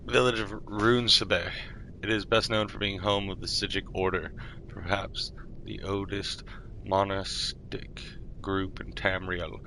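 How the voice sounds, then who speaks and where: A man reading fantasy lore aloud in a measured, formal manner, correcting himself politely, as part of a roleplay. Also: low-pitched, flat delivery, bright, forceful tone, a little hiss or hum, low-quality recording. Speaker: live roleplay streamer.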